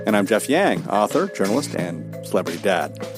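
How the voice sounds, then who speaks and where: A man's voice: fairly low pitch, big pitch swings, moderate pace, music in the background. A man podcast host reading a scripted promo for the show.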